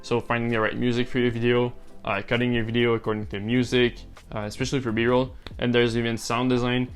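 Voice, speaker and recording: Casting: young man, relaxed vlogger, mid-range voice, faint music underneath. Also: mid-range voice, a little fast, clear, resonant tone. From French-speaking Canada. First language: French. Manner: relaxed, rambling with many uh and um fillers. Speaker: YouTuber. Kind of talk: casual talking-to-camera vlog.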